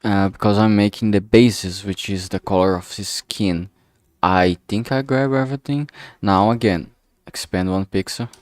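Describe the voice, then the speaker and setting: A man thinking aloud in a casual, halting way while drawing on a stream, talking mostly to himself. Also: on the low side, fairly even intonation, no echo, clean studio sound. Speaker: streamer making visual novel art.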